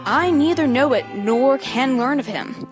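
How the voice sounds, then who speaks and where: Woman reading a worried, high-voiced noble father. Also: lively intonation, a little slow, over music. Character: worried noble father fretting over his secretive son. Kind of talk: amateur dramatic reading of Shakespeare.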